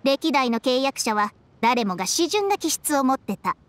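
A woman's voice: sits high, big pitch swings, normal speed. A woman voicing a worldly, ancient female snake spirit in a game, with a blunt, knowing, familiar way of talking. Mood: resigned. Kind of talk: scripted game dialogue.

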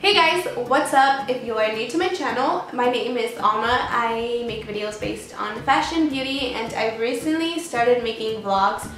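A woman's voice: fairly high pitch, moderate pace, hardly any pauses, a bit bright, faint music behind. A young woman fashion vlogger talking casually to camera in a small bedroom, upbeat and chatty, her voice a little off from being sick. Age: young adult. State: sick, voice a bit off. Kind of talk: casual vlog talk to camera. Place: small bedroom with string lights, indoors.

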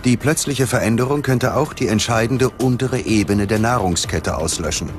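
A man reads scripted documentary narration in a measured, dramatic manner. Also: mid-range voice, over music.

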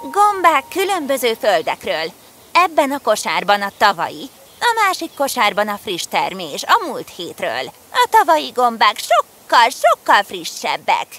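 High, lively cartoon mushroom girl. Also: heavily acted. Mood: puzzled, concerned. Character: curious young mushroom girl, eager and earnest. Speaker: cartoon character. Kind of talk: dubbed children's fairy tale cartoon. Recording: music under the voice.

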